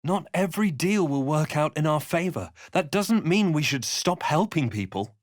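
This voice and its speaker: An actor playing an observant, principled and wry fantasy adventurer in a video game, with measured, thoughtful remarks. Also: male voice, a little high, expressive, lightly acted. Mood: resolute. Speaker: player character voice actor. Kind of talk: video game companion barks.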